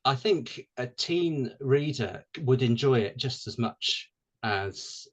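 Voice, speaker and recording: A man from Wales talks easily about himself as an interview guest. He is young and relaxed, and his speech is full of ums and small restarts. His voice sits at an ordinary middle pitch. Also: slow. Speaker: guest author. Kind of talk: casual interview chat.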